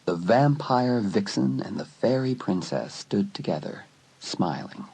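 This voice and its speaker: A man narrating a dramatised teen horror story in an expressive, dramatic storytelling manner. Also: lowish voice, unhurried, vocal fry, slightly rough, rough recording. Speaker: audiobook narrator.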